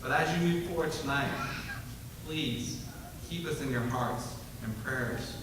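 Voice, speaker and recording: Cop guy giving a solemn memorial speech, big echoey hall, heard from far back, noisy. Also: mid-range voice. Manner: solemn, measured delivery. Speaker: police officer speaker. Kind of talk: formal ceremony speech. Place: large hall at a ceremony.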